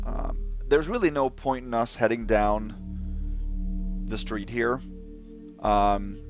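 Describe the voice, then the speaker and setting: A man with a low, gravelly voice, hoarse from a cold, walks viewers through a stealth game in an easygoing, explanatory way. Game music plays clearly behind him. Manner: relaxed, explanatory, frequent "um" fillers. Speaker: let's play commentator. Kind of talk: casual gameplay commentary.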